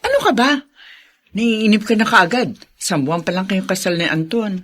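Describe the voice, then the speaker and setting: Animated, scolding older sister. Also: female voice, medium pitch, moderate pace. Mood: exasperated. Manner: scolding, colloquial, frank. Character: exasperated, frank, affectionate older sister and mother. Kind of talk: radio drama acting.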